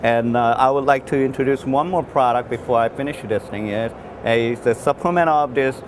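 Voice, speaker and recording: A man who is not a native English speaker gives an unscripted technical product demonstration as a designer, in a halting, repetitive way with frequent false starts. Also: medium pitch. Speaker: loudspeaker designer presenting his product. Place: trade show exhibition space. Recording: noisy trade show floor recording.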